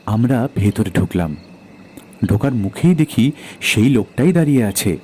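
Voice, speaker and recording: A man's voice: on the low side, expressive, normal speed, dark tone, slight background noise. A man narrating a first-person horror audio story in a dramatic storytelling style.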